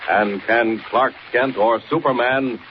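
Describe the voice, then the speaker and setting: A man narrates as a dramatic radio serial announcer in 1940s style. His pitch is average for a man. The recording is muffled, with clear scratchy noise. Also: fairly even intonation, measured pace.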